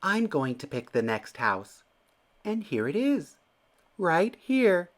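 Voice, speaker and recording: A man's voice: high voice, sing-song, takes it slowly, pitched above the performer's own voice, full character voice. A man voicing a fussy, decisive female bird, the wife in a children's storybook, speaking emphatically. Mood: bossy, sure.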